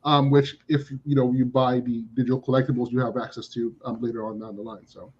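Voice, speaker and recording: A man's voice: medium pitch, little pitch movement, brisk, husky. A man co-hosting in casual chat, recorded with slightly dull, lo-fi sound. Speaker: co-host.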